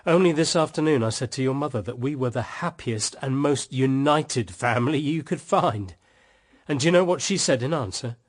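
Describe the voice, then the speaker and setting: An actor with a British accent playing a weary, embittered husband in a radio drama, wry yet tenderly affectionate. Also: male voice, mid-range voice, lively intonation, normal speed, close to an everyday voice. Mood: bitter, despairing. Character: weary, embittered husband, tender toward his young sister-in-law.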